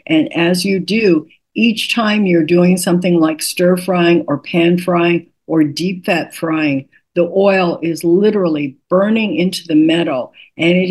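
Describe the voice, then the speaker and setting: Slow, low-voiced older woman explaining. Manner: patient, instructive, methodical. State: slightly raspy voice. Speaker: guest, cookbook author. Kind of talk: explaining cooking tips in an interview.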